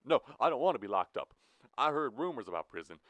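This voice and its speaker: A man voicing a scrawny, frightened young male car thief who pleads fast in a high voice. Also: sing-song, fairly bright tone, dry recording. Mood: pleading. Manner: high-pitched pleading, fast, faked Irish then drawl.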